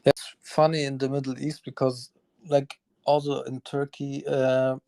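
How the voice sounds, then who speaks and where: A male podcast host asks loose, rambling questions full of fillers, sometimes rushing a phrase out in a quick burst. His voice sits at an ordinary pitch and sounds close and dry, with no room around it. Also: little pitch movement, creaky, a little mellow, no echo, low-quality recording. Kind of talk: casual interview chat.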